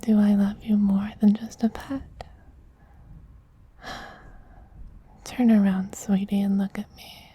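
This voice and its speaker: A man voicing a doting, possessive femboy vampire queen in a soft, breathy whisper, slow, tender and gently commanding, as in an ASMR roleplay. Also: sits high, level tone, heavily acted. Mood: soothing, loving.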